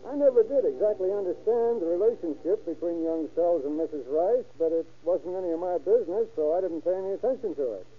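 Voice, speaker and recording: A man's voice: sits high, fast talker, hardly any pauses, husky. An actor playing a talkative, obliging small-town man in an old-time radio crime drama. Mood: helpful. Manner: folksy, talkative. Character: chatty, obliging small-town male witness. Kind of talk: 1930s-1940s radio acting. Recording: tinny old radio recording.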